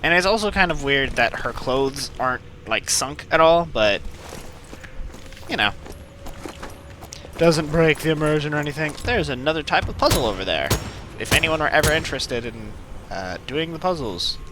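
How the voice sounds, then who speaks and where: A man's voice: fairly high pitch, animated, normal speed, background noise. A man giving casual, playful let's play commentary as host of a gaming video. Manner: jokey, playful. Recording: game music and gunfire behind. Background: game music, gunfire.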